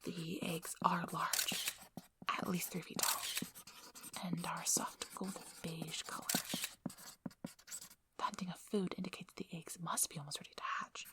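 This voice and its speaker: A woman playing a nervous, apologetic, injured young field researcher in an ASMR audio roleplay, breathy and hesitant, stammering as she goes. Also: lowish voice, level tone, measured pace, long pauses, natural voice. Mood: hushed, studious.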